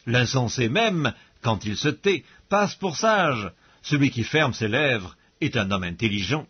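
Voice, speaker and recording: A man reads scripture aloud as an audiobook narrator, solemn and measured, with formal diction, on a dull recording. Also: mid-range voice, animated, breathy edge.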